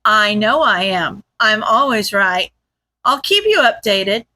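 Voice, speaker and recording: Woman acting a bright, snarky streetwise woman. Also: mid-range voice, lively intonation, full character voice. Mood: brash reassurance. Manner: flippant, quick to snark. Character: snarky, streetwise woman informant with breezy bravado.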